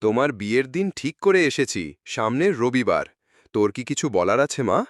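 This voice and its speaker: A man's voice: mid-range voice, sing-song, a little fast, dry recording, studio quality, close to an everyday voice. A man voicing a fond but authoritative middle-aged village father in a cartoon folk tale. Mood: fatherly, decided.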